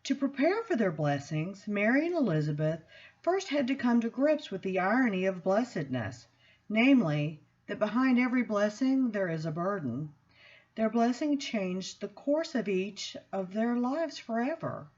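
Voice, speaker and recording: A woman reads from a book aloud in an expressive style, with measured, deliberate phrasing and emphatic pauses. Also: mid-range voice, slightly rough, lo-fi sound. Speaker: seminary student reading aloud. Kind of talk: expressive book reading with spoken introduction.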